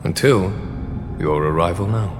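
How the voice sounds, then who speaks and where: An actor playing a calm, shrewd male general in a game, speaking in a measured, politely persuasive way. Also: low-pitched, background noise. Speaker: military general in a game cutscene. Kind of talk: voiced game dialogue. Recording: reverberant game mix with music. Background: orchestral game music.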